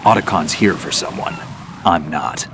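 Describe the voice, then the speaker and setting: An actor playing a world-weary, gruff veteran soldier, terse and earnest, in a video game. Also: male voice, very deep voice, a little slow, fairly bright tone. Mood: resolute. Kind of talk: dramatic video game acting. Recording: clear background noise.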